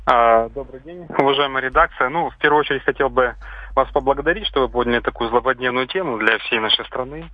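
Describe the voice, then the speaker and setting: A man's voice: medium pitch, fast, no room sound. A man calling in to a radio show, speaking politely and earnestly in a formal way. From Sevastopol region. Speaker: radio caller. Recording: tinny telephone line.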